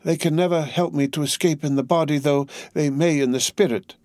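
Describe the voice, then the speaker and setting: A man reading aloud, voicing a frail, dazed old male prisoner who speaks in halting, wondering phrases. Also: a little high, steady tone, darkish tone, no room sound, voice pushed up, lightly acted. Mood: remembering. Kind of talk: audiobook character voice.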